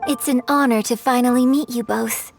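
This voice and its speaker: A refined young opera performer who speaks with courteous, composed formality. Her voice is slightly high and steady, with music playing underneath. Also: normal speed, creaky. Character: poised, courteous young woman opera star. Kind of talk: game voice acting.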